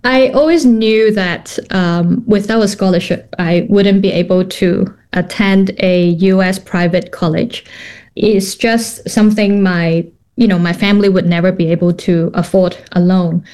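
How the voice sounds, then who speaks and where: A woman's voice: mid-range voice, fairly even intonation, unhurried, darkish tone. A woman in her thirties from Hong Kong, a company founder answering interview questions thoughtfully, with many fillers and restarts.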